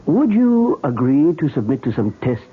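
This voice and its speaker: An actor playing a patient, skeptical doctor with a husky voice in a radio drama. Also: male voice, on the high side, very animated, normal speed, full character voice. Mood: measured, doubtful. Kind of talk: 1970s radio drama acting. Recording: tinny old radio broadcast.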